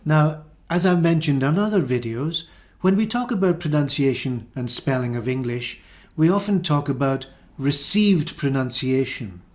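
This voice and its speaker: A man with a Scottish accent teaching an English pronunciation lesson, saying each model word slowly and carefully. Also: on the high side, animated, darkish tone. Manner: slow, careful, drawn-out model words.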